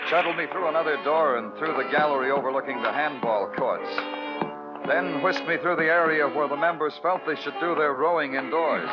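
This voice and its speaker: Dogged, terse cop played by a man, deadpan vintage radio noir delivery, middle register, muffled archival audio. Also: mid-range voice, close to an everyday voice. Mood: wry narrating. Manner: clipped, sardonic questioning and deadpan narration. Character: terse, dogged, world-weary male New York police detective. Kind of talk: 1950s radio crime drama acting and first-person narration. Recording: dull, muffled old radio recording with music.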